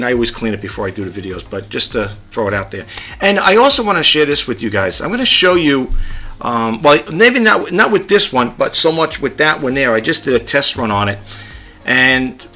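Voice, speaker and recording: A man vlogging a casual tech demo at his home desk, chatty and rambling, in a low-key, fairly monotone voice. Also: gravelly. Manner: chatty, rambling, demonstrating gadgets. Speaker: YouTube vlogger.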